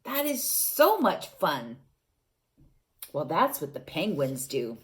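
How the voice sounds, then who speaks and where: Woman, storytime reader for small children: unhurried, coaxing, playful, with a lively up-and-down delivery. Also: sits low, slight background noise. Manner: gentle, coaxing, asks the young listeners questions; playful, with sound effects and exclamations. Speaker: teacher reading to children. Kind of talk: reading a picture book aloud to young children.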